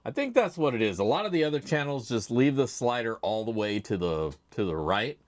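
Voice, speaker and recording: A man hosting a casual livestream, chatty and laid-back, with a loud voice, talking into a desk microphone at his home streaming setup. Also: medium pitch, big pitch swings, fairly quick, no room sound. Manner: chatty, laid-back, reads chat names aloud. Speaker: livestream host.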